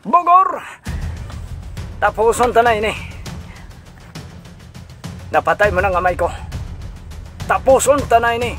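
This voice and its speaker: A man's voice: very high voice, sing-song, takes it slowly, long pauses, a bit bright, faint music behind, full character voice. A man playing a vengeful, accusing gunman in a confrontation in an audio drama. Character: vengeful gunman confronting his father's killer.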